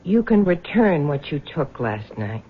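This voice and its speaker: Husky, low, sharp-tongued bitter widow. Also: female voice, very animated, measured pace, phone-quality sound, heavily acted. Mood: scornful, threatening. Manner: combative, scornful. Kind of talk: 1970s radio drama acting. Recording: thin, band-limited vintage radio recording.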